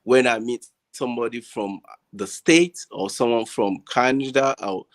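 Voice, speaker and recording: A man with a Nigerian accent talking casually as a panel guest, haltingly repeating words as he searches for his phrasing. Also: medium pitch. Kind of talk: casual discussion. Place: online group video call.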